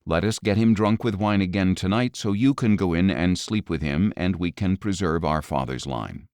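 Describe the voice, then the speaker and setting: A man's voice: deep voice, normal speed, darkish tone, clean tone, no room sound, studio quality. A man voicing a determined, scheming young woman, an elder daughter, in an audio Bible story. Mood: resolute.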